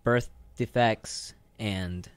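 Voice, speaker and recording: A man's voice: lowish voice, steady tone, extremely slow, smooth, no room sound. A young man co-hosting a radio show in loose, rambling casual chat peppered with "like". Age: young adult. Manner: rambling, says "like" constantly. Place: radio studio.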